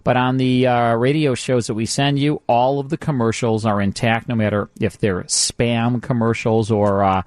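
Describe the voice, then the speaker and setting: A man's voice: mid-range voice, fairly even intonation, moderate pace, few pauses, darkish tone, no room sound, clean studio sound. An American man hosting a radio show in a casual, conversational, repetitive sales patter. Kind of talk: casual radio promotion and chat. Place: dry radio studio.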